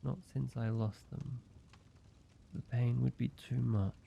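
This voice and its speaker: A man voicing a weary, guarded ancient vampire who is grieving yet tender, speaking haltingly in an intimate roleplay audio drama with a gravelly voice. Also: fairly even intonation, mellow, a little hiss or hum. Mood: pained, conflicted. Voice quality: low, gravelly. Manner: slow, halting, hesitant delivery with pauses.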